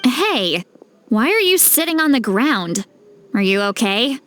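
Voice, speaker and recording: A woman playing a caring, self-assured sage in a video game, with an assured, gently advising manner. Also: on the high side, very animated, a little slow, slight background noise. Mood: concerned.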